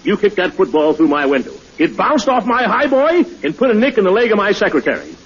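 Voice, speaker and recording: Pompous, high-pitched, blustering neighbour. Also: male voice, wildly swooping pitch, fairly quick, husky, exaggerated voice. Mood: indignant. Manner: pompous, boastful. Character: pompous, blustering male neighbour, boastful and indignant. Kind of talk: 1940s radio comedy acting. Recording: dull vintage 1940s radio.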